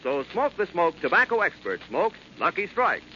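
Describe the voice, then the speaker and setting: A man's voice: high voice, big pitch swings, normal speed, bright, forceful tone. A man reading a scripted 1940s radio commercial in an emphatic, formal, salesmanlike way. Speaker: commercial announcer. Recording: muffled old radio with faint noise.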